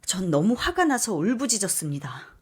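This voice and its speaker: A young woman softly reading a first-person story aloud in a subdued, even voice. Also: mid-range voice, normal speed, breathy edge. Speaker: story reader.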